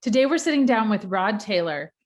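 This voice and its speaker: A woman's voice: medium pitch, lively intonation, brisk. A woman hosting an interview podcast, chatty and friendly. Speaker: podcast host.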